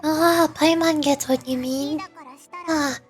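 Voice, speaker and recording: A little fairy girl from a game. The voice is squeaky and high, bursting with childish excitement and self-importance. Music plays behind it. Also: slow pace. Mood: surprised. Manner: squeaky, speaks of herself in third person. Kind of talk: scripted game character dialogue.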